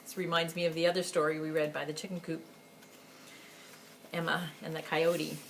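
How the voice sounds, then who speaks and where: A woman reading a picture book aloud to children as a library storyteller, with expressive, emphatic phrasing and chatty asides. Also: low-pitched, moderate pace, vocal fry, slight background noise, rough recording. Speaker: story time reader for a school library.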